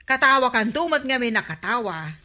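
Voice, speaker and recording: High-pitched nosy Ilocano village gossip. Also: female voice, lively intonation, brisk, pushed and bright. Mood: prodding. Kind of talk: Ilocano radio comedy drama acting.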